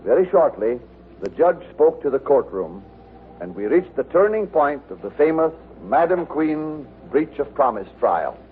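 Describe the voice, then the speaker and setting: A man's voice: fairly high pitch, big pitch swings, unhurried. A man, narrating a story in scripted 1950s radio style. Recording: tinny old radio broadcast, faint noise.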